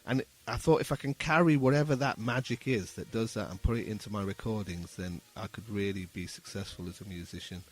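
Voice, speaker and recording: A man's voice: fairly low pitch, a little fast, few pauses. A middle-aged man talking casually as an interview guest, earnest and repeating words as he gets going. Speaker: musician guest, saxophone busker.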